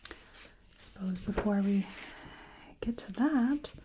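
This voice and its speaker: A woman with a soft, breathy, often whispery voice doing ASMR roleplay as a bewildered, polite researcher, speaking hesitantly to the listener. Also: mid-range voice, slow pace, slight background noise, rough recording. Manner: hesitant, murmuring to an unseen listener. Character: bewildered, polite female researcher examining a creature.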